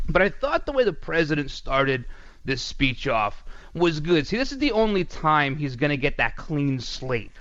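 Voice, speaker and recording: A man's voice: sits high, fairly bright tone, dry recording, dull audio. A male radio talk show host delivering a sarcastic, mocking political commentary monologue.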